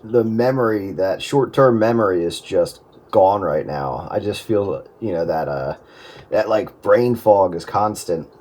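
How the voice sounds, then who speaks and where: A man's voice: low voice, expressive, a little slow, a little mellow. A middle-aged man chatting casually over amateur radio in a rambling way, often restarting his sentences.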